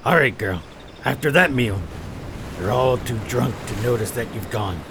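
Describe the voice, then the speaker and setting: An actor playing a big, gruffly kind veteran pirate sailor in an audio drama, plain-spoken. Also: male voice, mid-range voice, expressive, normal speed, a bit bright, a little hiss or hum. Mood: protective.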